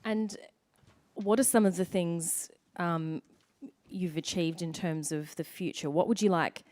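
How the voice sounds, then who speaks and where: A woman's voice: on the low side, fairly even intonation, unhurried. A woman with an Australian accent hosting a stage event, introducing and interviewing a guest in an encouraging manner. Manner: warm, encouraging, upbeat. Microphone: microphone.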